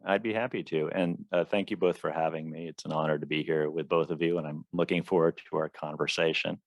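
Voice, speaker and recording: A man from the Washington, D.C. area, a guest in an interview, telling his story in a measured, thoughtful way. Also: rumbling low, fairly even intonation, no room sound. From Washington, D.C. area, USA.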